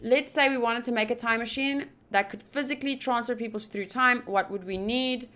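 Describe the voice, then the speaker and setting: Bright, high-voiced woman reading a game aloud. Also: normal speed. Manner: expressive reading, breaking off into wry informal asides. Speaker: gaming video host. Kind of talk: visual novel playthrough, reading text aloud with casual commentary.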